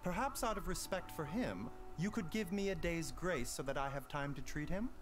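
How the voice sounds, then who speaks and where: An actor playing a composed, polite, quietly sly doctor in a video game, speaking in formal, measured phrases over music. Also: male voice, a little high, lively intonation, lightly acted. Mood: smoothly reassuring.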